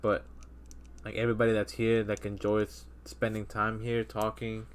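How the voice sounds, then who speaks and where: A man's voice: on the low side, monotone, normal speed, a little hiss or hum. A man in his thirties hosting a casual podcast chat, rambling with lots of fillers and restarts. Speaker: podcast host.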